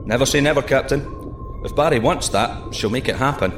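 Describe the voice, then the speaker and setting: An actor playing a plucky, excitable male astronaut in a sci-fi audio drama, breezy and cocky between clipped technical reports. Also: medium pitch, fairly quick, echoey room, background noise. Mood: calm, focused. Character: plucky, excitable male astronaut piloting a deep-sea microsub.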